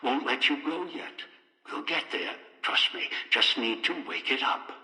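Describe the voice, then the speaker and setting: A man's voice: medium pitch, lively intonation, measured pace, reverberant, long reverb tail, very noisy. An older man acting a bitter, commanding old schemer in a video game, giving terse, ominous orders over a radio speaker. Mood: obsessive, gloating.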